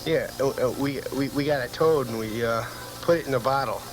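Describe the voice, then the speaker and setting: A man's voice: mid-range voice, brisk, over a noisy crowd, lightly acted, poor audio. A man playing a boastful tipsy goofball. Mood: rambling, earnest. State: drunk. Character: tipsy goofy young beer-chugging guy bragging about stunts.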